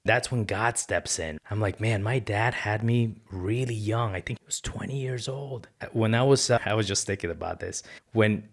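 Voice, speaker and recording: A man's voice: fairly low pitch, moderate pace, hardly any pauses. A man, a podcast guest telling personal stories in an earnest, colloquial way. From born in Ecuador, moved to the United States. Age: 30s. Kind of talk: casual personal storytelling.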